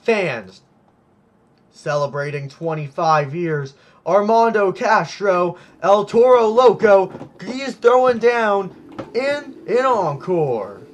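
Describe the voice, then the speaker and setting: A male play-by-play commentator with a high voice who is losing it, going hoarse as he calls the action. He is hyped and keeps exclaiming, but his delivery stays unhurried. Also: animated, muffled recording, slight background noise. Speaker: commentator for a simulated monster truck series.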